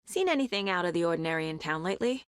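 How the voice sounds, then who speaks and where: A woman voice actress playing a determined, guarded female investigator in a video game, speaking in a measured, serious, naturalistic way. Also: on the low side, very animated, clear, resonant tone, no echo, studio quality. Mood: businesslike, focused. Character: determined, sharp female FBI investigator, guarded yet driven.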